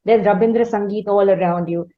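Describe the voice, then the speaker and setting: A woman hosting an interview, phrasing long questions in a measured, careful, conversational way. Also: mid-range voice, steady tone, phone-quality sound. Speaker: interviewer, podcast host.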